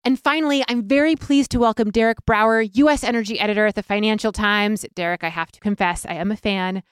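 A woman with a smooth, resonant voice hosts a podcast, reading scripted introductions in a polished, friendly way. The sound is close and dry, with no room around her. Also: mid-range voice, fairly even intonation, fast, no echo. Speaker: podcast host.

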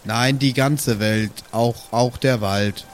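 A man's voice: medium pitch, slow, slight background noise. A man playing a tabletop roleplay in character, asking probing questions in a mock-serious way. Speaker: roleplay podcast player. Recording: clear music playing behind the voice. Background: music.

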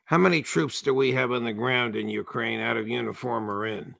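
Male host, measured questions, gravelly, tense bright tone. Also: medium pitch, fairly even intonation, dry recording. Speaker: show host. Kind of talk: interview questions.

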